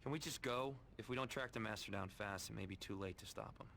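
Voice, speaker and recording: An actor playing a brooding, remorseful vampire hero in a video game, serious and earnest with a gravelly voice. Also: male voice, fairly low pitch, fast, a little hiss or hum. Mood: defensive, brooding. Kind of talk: video game drama acting. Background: loud music.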